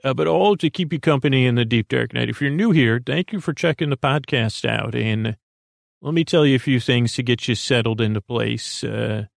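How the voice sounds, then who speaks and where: A man hosts a bedtime podcast in a gravelly, creaky voice. He rambles soothingly and haltingly, drifting into whimsical tangents. The sound is close and dry, with almost no room around it. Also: mid-range voice, dry recording. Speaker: podcast host.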